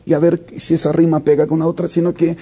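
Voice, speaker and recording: A man's voice: on the high side, completely flat delivery, fast, mellow, husky. A man, a worship leader answering interview questions reflectively and with frequent hesitations. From Brazil. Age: 30s.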